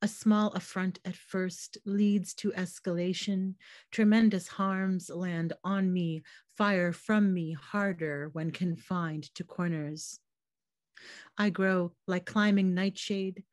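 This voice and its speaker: Canadian woman, slightly hoarse, low voice, poetry reading, dry close sound. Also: steady tone, slow, a little mellow, no echo. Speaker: poet reading at a launch. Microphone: computer mic on a video call.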